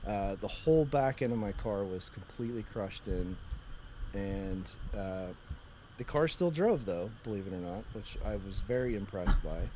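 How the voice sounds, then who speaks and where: Deep-voiced man telling stories. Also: lively intonation, unhurried, mellow, slight background noise, poor audio. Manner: rambling storyteller, laughs often. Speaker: podcast co-host. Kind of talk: casual chat.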